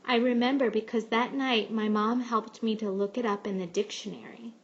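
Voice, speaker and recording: A woman reading a picture book aloud like a teacher, with expressive, measured storytelling. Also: a little high, muffled recording. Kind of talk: storybook read-aloud narration.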